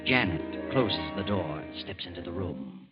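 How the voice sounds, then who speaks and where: A man giving measured, ominous scripted narration for an old radio drama. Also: sits low, sing-song. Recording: dull old radio recording with music.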